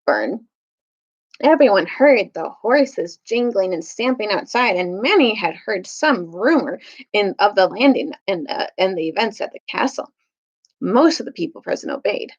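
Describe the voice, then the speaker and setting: A woman's voice: mid-range voice, big pitch swings, normal speed, breathy edge, muffled recording. A woman reading a children's storybook aloud as a storytime reader, sometimes stumbling over longer words.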